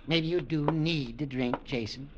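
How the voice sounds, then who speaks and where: An actor playing a cold, taunting, middle-aged businessman uncle in an old radio drama, with a sneering, deliberate delivery. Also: on the high side, background noise, lightly acted. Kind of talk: 1940s-50s radio drama acting. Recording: dull vintage radio recording.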